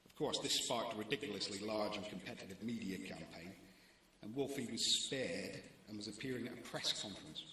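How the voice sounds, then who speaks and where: A man's voice: fairly low pitch, a little fast, pushed and bright, echoey room, a little hiss or hum. A man in his forties with a Scottish accent, a newspaper editor giving a prepared talk to students with dry, wry humour and comic timing.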